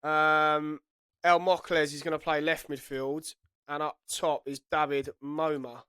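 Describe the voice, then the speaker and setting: A man's voice: fairly high pitch, flat delivery, measured pace, pushed and bright, clear, resonant tone, no room sound. A man with a head cold giving chatty, self-correcting commentary while playing a football management game. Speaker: gaming YouTuber. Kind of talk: casual gameplay commentary.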